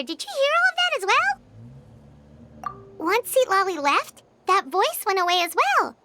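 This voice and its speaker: A tiny floating fairy girl with an extremely high, childlike voice. She is chirpy and chatty, swinging freely in pitch as an excitable game companion, with prominent music behind her. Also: heavily acted. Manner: speaks of herself in third person, exclamatory, chirpy. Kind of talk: animated game voice acting.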